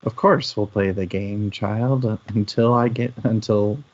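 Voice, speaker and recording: A man with a low voice chats casually as co-host of a book discussion. He rambles and restarts his thoughts as he works through them. The audio is somewhat dull and band-limited. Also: steady tone, unhurried, darkish tone.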